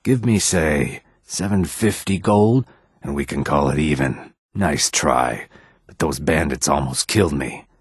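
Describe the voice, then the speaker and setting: A man voicing a brash, mercenary lizard-man in a video game, with a gruff, raspy, swaggering delivery. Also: extremely low pitch, a little slow, dry recording, studio quality, heavily acted. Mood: grudging, sardonic. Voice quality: husky, raspy. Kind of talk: video game character dialogue.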